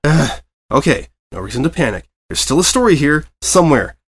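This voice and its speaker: A man playing an uptight reporter pony who talks a bit higher than normal and keeps getting exasperated. His delivery is fussy and tense, and the pitch bounces around a lot. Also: big pitch swings, brisk, slightly rough. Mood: flustered. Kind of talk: fan fiction reading.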